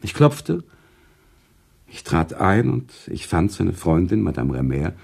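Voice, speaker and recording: Low, husky, thoughtful storyteller. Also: male voice, full character voice. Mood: engaged. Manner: deliberate, expressive storytelling. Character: thoughtful, sympathetic male storyteller, the author himself. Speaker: narrator. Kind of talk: German radio play narration in dialogue.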